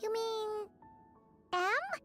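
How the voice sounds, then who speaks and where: A tiny, cheerful game sprite with an extremely high, squeaky child's voice. She is excitable and inquisitive, swinging widely in pitch, with music playing behind her. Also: extremely slow, exaggerated voice. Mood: curious. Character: small cheerful childlike female sprite companion. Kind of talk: video game voice acting.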